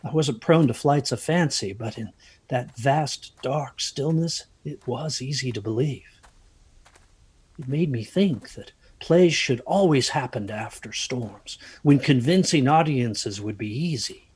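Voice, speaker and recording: A man's voice: mid-range voice, unhurried, darkish tone, husky. A man acting an anxious, haunted narrator of a dramatic first-person ghost story, hesitant and brooding in his delivery. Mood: hushed, eerie. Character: anxious haunted man recalling a stormy night.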